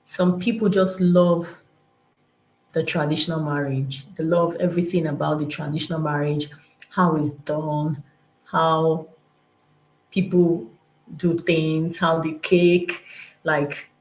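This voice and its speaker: A woman with a Nigerian accent and a slightly low voice teaches in an informal, motivational way. She is emphatic and repeats key phrases, slipping into imagined remarks conversationally. The sound is noticeably roomy and echoing, as on a live stream. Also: level tone, takes it slowly, pauses often, darkish tone, a little hiss or hum.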